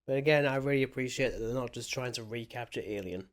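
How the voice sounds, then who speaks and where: A man's voice: medium pitch, fast talker. A man co-hosting a film podcast, chatting casually and musingly as he comments on a movie.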